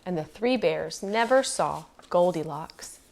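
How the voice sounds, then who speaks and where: A woman reading a children's storybook aloud as storyteller, expressive and emphatic, lingering on phrases. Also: lowish voice, unhurried.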